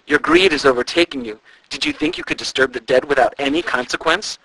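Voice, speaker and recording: A man puts on a moaning, shrouded specter who speaks in ominous pronouncements. The voice sits well above a plain male register and rings bright and sharp. It stays dry and close, with no room around it. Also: on the high side, wildly swooping pitch, fairly quick, creaky, breathy edge, no echo, pitched above the performer's own voice, heavily acted, poor audio. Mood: menacing.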